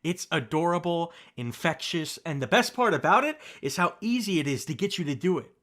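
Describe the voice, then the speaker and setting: A young man performing a scripted ASMR roleplay as a best friend, talking softly and gently and reassuring the listener, with light teasing laughs. He is recorded on a close studio mic. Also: a little high, very animated, fairly quick.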